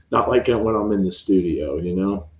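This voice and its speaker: A man hosting a late-night weather stream from home, talking quietly and rambling through the forecast on a cheap microphone. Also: on the low side, slow pace. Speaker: meteorologist host. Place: upstairs room at home at midnight. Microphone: cheap home mic. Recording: roomy, dull home mic.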